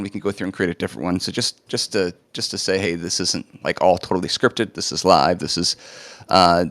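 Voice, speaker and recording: Man, lowish voice, slightly hoarse, chatty live demo, dry close sound. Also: fairly bright tone, no room sound. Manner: casual, chatty, lots of fillers. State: a bit hoarse, recovering from illness. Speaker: presenter of a live coding demo. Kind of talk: live screen-share walkthrough.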